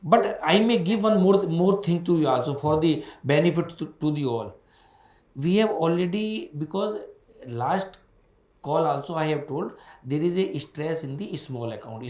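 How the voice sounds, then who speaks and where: A man's voice: fairly high pitch, darkish tone, reverberant. A man with an Indian accent answering questions as a bank executive on an earnings call, in an emphatic, repetitive way.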